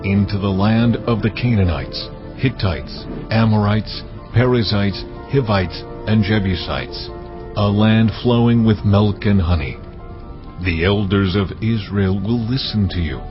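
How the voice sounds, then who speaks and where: An actor playing God as a solemn, commanding divine voice, proclaiming slowly and with weight. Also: male voice, on the low side, lightly acted. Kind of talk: dramatized scripture reading. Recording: muffled, over loud cinematic music.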